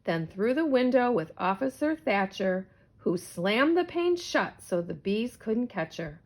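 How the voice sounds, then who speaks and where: A woman reading a rhyming children's story aloud in an animated, theatrical storytelling manner. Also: medium pitch, a little slow. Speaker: storybook reader.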